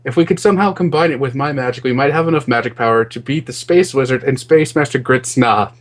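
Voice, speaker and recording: A man's voice: mid-range voice, fast. A man co-hosting a podcast, joking and reading fan fiction aloud with amused mock dismay. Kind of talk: joking banter and read-aloud.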